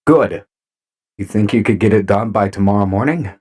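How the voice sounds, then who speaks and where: An actor playing a calm, courteous robot commander in an audio drama, measured in manner. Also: male voice, fairly low pitch, lightly acted. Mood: courteous, commanding.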